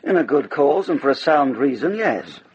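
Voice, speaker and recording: An actor playing a wry, familiar friend with dry humour in a period radio drama. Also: male voice, a little high, big pitch swings, normal speed, husky, exaggerated voice. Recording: muffled radio drama, loud background ambience.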